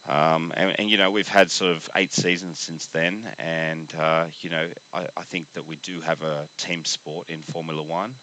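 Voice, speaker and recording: Very deep, croaky man ranting. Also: fairly even intonation, sharp tone, slight background noise, poor audio. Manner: earnest, halting, opinionated. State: croaky from flu. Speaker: podcast host. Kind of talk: opinion rant to camera.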